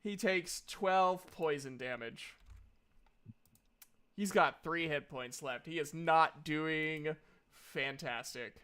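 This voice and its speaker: A man's voice: on the high side, slow, sharp tone, clean tone. A man casually narrating a tabletop game as the game master.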